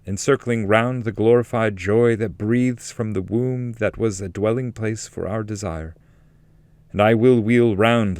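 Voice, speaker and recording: A man's voice: lowish voice, darkish tone, no room sound, close to an everyday voice. Man reading as a reverent angelic spirit, dry sound. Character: angelic spirit of love, singing reverent praise while circling. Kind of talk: dramatic reading of epic poetry.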